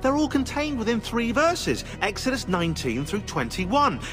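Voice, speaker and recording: Animated, sardonic know-it-all historian. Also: male voice, high voice, normal speed, music in the background. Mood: excited, smug. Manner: sardonic, eager explaining. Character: sarcastic, excitable know-it-all historian man. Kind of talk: video game dialogue acting.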